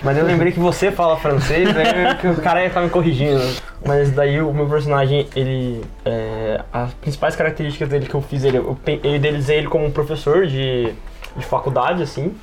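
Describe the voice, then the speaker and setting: A man's voice: a little high, fairly even intonation, brisk. A man chatting casually on an RPG podcast, informal and hesitant, often circling back to rephrase himself. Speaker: RPG podcast participant and player. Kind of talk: casual chat.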